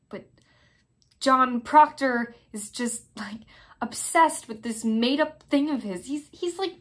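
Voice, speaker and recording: A young woman playing an outspoken, indignant teenage girl in a conversational stage monologue, halting and wry. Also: fairly high pitch, a bit bright, heavily acted. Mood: indignant, insistent. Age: young adult. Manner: halting, conversational, with many likes and restarts. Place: small plain room indoors.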